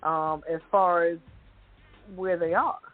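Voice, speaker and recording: A woman's voice: on the low side, fairly even intonation, slow pace, no echo. A woman panelist giving opinions in casual, loosely phrased talk show chat. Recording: muffled, band-limited audio.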